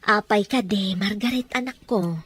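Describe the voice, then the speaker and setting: Patient, husky-voiced consoling mother. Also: medium pitch, moderate pace, fairly bright tone. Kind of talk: Ilocano radio drama acting.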